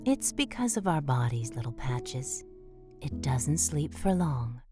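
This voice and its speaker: A gentle, wistful mother mouse, acted by a woman, speaks in a low voice with patient reassurance. It is audio drama dialogue, with guitar music playing clearly behind. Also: sing-song, unhurried, heavily acted. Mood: wistful, tender.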